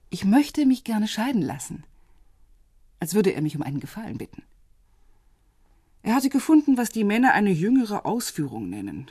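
A woman playing a wry, bitter, guarded middle-aged divorcee in radio drama dialogue, with a husky, sardonic delivery. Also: medium pitch, darkish tone, dry recording, studio quality.

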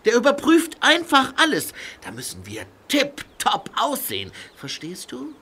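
A man's voice: very high voice, huge pitch swings, a little slow, pushed and bright, husky, heavily acted. An actor playing a cheeky, playful little male tank engine in a children's audio drama, teasing and chirpy.

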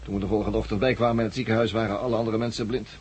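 A man playing a brisk, organised survivor who explains things earnestly in a 1960s radio drama. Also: on the low side, fairly even intonation, a little mellow, slightly rough. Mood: businesslike. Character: brisk, organised male survivor with military zeal. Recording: dull, hissy 1960s radio recording.

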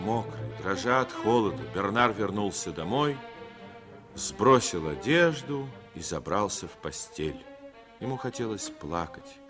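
A man's voice: lowish voice, lively intonation, unhurried. A man giving scripted dramatic narration in a 1950s radio play. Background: music.

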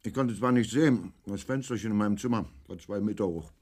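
A man's voice: lowish voice, fast, a little mellow, a little hiss or hum, lightly acted. An actor in a German radio play playing an earnest, puzzled man who grumbles in clipped phrases. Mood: grumbling.

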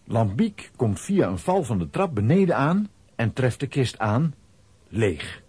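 A man's voice: mid-range voice, very animated, unhurried, a little mellow, slightly rough, no room sound. A man narrating a children's audio drama in a scripted storytelling style.

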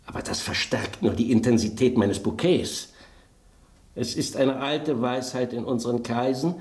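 An actor playing a vain, refined old red wine who speaks pompously and savours words, in a German radio drama. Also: male voice, medium pitch, moderate pace, darkish tone, roomy sound, natural voice. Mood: smug.

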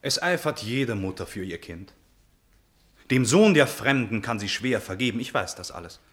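An actor playing a proud, honourable young prince in a classical verse radio tragedy, with formal, noble declamation. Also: male voice, medium pitch, animated, normal speed, breathy edge. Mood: restrained, magnanimous.

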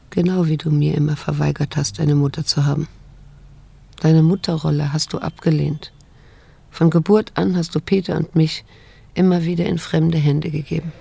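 A haunted middle-aged daughter narrating a radio play. Her voice is gravelly and husky, held almost flat. She sounds brooding and bitter, keeping everything restrained. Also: low-pitched, dry recording, full character voice. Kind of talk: introspective radio drama narration.

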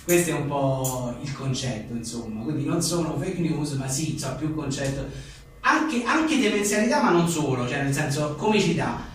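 A man's voice: on the high side, lively intonation, normal speed, a little hiss or hum. Very echoey room, distant mic, man, informal storytelling, wry, digressive. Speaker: guest, journalist and author being interviewed. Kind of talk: informal book talk, rambling anecdotes. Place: echoing indoor venue at a live book presentation.

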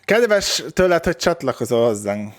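A man's voice: medium pitch, big pitch swings, soft background music. Casual man hosting a reaction show. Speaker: host of a video reaction show. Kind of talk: casual chat, video commentary.

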